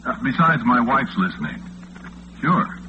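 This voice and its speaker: A man playing a chatty, folksy overnight radio disc jockey with an American accent, in a casual, halting old-time radio drama style. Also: mid-range voice, sing-song. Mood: casual, modest. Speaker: late-night radio host. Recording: muffled, noisy vintage radio recording.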